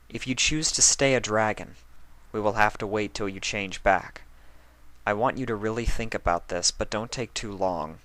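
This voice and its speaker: A man voicing a stern but caring pony princess of the night who talks softly and gravely. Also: lowish voice, dry recording, close to an everyday voice.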